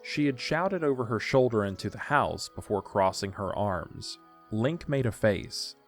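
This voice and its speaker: A man's voice: lowish voice, expressive, a little slow, soft background music. A man narrating a scripted story for an audio drama.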